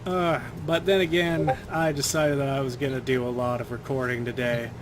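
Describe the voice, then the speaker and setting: A young man, slightly hoarse, giving loose casual commentary over his gameplay, with some swearing. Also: medium pitch, little pitch movement, moderate pace, noisy background. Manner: loose, profane trash talk at enemies. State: slightly hoarse, itchy throat. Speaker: gaming channel host.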